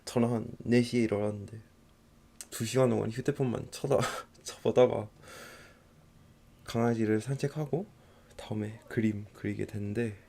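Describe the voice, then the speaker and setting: A man's voice: fairly low pitch, moderate pace, vocal fry. A young man from Texas, a native English speaker with an American accent, chatting casually and rambling while he draws on a stream. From United States (Texas). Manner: relaxed, rambling, laughs often. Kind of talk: casual chat while drawing.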